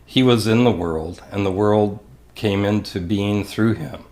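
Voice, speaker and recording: Low-voiced man reading scripture. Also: a little slow, darkish tone. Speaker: scripture reader. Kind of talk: solemn scripture reading in church service.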